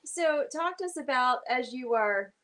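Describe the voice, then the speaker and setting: A chatty woman hosting a relaxed kitchen segment. Her voice sits fairly high, and she keeps things friendly and curious, firing off question after question. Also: moderate pace. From North Carolina. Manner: chatty, asks lots of questions. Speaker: host. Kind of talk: casual cooking segment chat.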